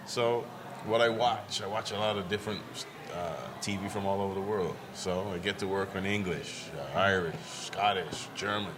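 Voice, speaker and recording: A man's voice: fairly even intonation, moderate pace, hardly any pauses, a crowd in the background. A middle-aged man from Barbados, a comedian guest telling stories in casual interview chat. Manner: storytelling, acts out quoted voices in a high pitch.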